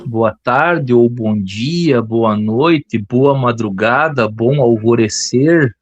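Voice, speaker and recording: A playful southern Brazilian man co-hosting a podcast, joking as he talks. He speaks at an unhurried, drawn-out pace. The sound is dry and close, with no room to it. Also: medium pitch, no echo, highs cut off. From Brazil, Rio Grande do Sul. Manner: playful, joking, chuckles often. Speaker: podcast co-host. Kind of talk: casual podcast chat.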